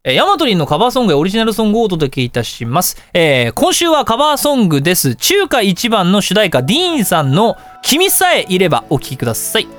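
早口で抑揚の激しい高めの声の男性. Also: male voice, high voice, fast talker, few pauses, faint music behind. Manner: animated storytelling, acts out dialogue and reactions. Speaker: radio show host. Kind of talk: casual anecdotal radio talk.

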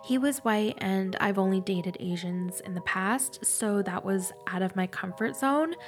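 Young woman, nasal congested voice, mid pitch, candid chatty podcast monologue, music behind. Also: unhurried. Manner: candid, chatty, informal with swearing. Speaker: podcast host. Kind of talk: casual confessional solo podcast monologue.

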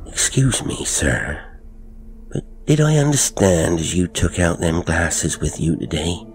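A man's voice: low voice, big pitch swings, unhurried, soft background music, heavily acted. A man voicing a deferential elderly male servant with polite, hesitant rustic speech.

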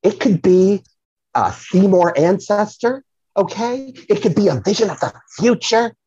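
A man's voice: sits high, animated, measured pace, dark tone, breathy edge, no room sound, dull audio, exaggerated voice. A man playing a flamboyant, touchy psychic who promotes himself and rambles effusively, repeating himself, as a comedy podcast guest. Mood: salesy, showy. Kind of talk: comedic audio play banter.

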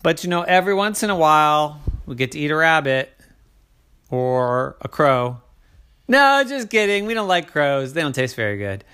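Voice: mid-range voice, big pitch swings, normal speed, bright, forceful tone, clear, resonant tone. A storyteller voicing a group of boastful male hawks who tease and brag. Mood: smug. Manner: bragging, teasing.

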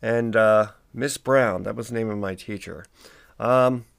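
A man's voice: lowish voice, steady tone, measured pace, gravelly, smooth. A man in his forties hosting a solo podcast, sounding congested and stuffy, rambling casually with lots of ums and uhs. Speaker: podcast host.

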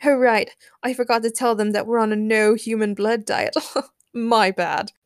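A woman voicing a playful, suave male vampire in a storybook, with a slow, relishing delivery. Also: a little high, steady tone, dry recording, studio quality, natural voice. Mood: sheepish.

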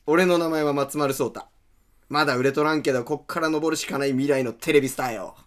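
A man playing a cocky, cheeky aspiring comedian with a Kansai accent and a loud, breezy delivery in a radio drama. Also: a little high, fairly even intonation, brisk, lightly acted. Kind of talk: Japanese radio drama acting.

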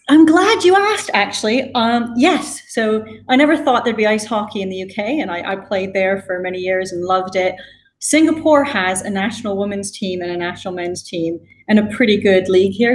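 A woman's voice: mid-range voice, slight background noise. A woman from West Coast Canada whose accent is mixed with British after years in the UK. She gives thoughtful, conversational answers as an interview guest. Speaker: guest, design executive.

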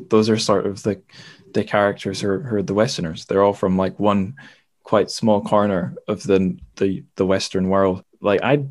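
A man's voice: fairly low pitch, darkish tone. A man hosting a podcast in a casual, rambling literary discussion, often correcting himself as he feels his way to a point. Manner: tentative, rambling, self-correcting. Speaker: podcast host, interviewer.

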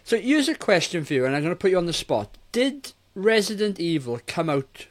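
A man's voice: high voice, big pitch swings, brisk. A man in his forties co-hosting a casual film review podcast, speaking in a blunt, exasperated way. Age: 40s. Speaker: podcast co-host.

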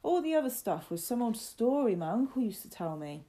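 A woman's voice: a little high, very animated, brisk, dark tone, pitched above the performer's own voice. A woman voicing a mischievous older servant boy in a storybook, emphatic and impatient. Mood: earnest.

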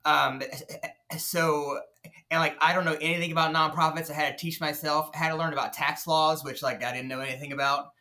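A man with a fairly high, bright voice, talking as a podcast guest. He stutters and fills his speech with many fillers and restarts. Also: flat delivery, a little fast. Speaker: podcast guest, nonprofit founder. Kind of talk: casual interview talk.

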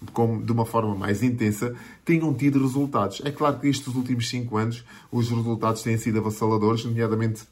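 A man in his forties with a European Portuguese accent, a marketing mentor giving an earnest motivational talk to camera and building his points through repeated lists. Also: medium pitch. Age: 40s.

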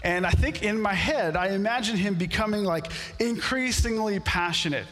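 A pastor whose first language is French, preaching a sermon in a storytelling way that builds to animated outbursts. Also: male voice, sits high, normal speed, long reverb tail. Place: church sanctuary.

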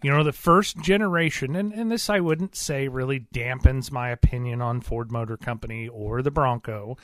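A man's voice: mid-range voice, normal speed, dry recording, studio quality. A man co-hosting a radio talk show, chatting casually with folksy, colloquial turns of phrase.

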